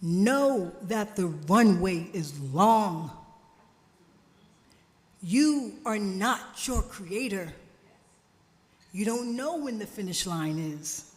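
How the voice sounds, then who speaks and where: A woman preaching a sermon at a church service, slow and emphatic, drawing responses from the congregation, her family from Jamaica. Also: medium pitch, lively intonation. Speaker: guest speaker at a church service. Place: church sanctuary. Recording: church hall reverb.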